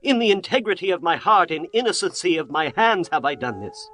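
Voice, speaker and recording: A man's voice: high voice, very animated, a little fast, a bit bright, no echo, heavily acted. A man playing an indignant, righteous ancient king in a dramatized scripture audiobook, speaking in formal, declamatory archaic phrasing. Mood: pleading, indignant.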